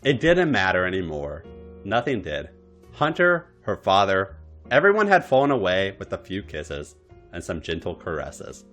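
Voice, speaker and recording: A man's voice: low-pitched, huge pitch swings, moderate pace, a bit bright, faint music behind. A man narrating erotic fan fiction for a podcast in a theatrical, dramatic style. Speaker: podcast story reader.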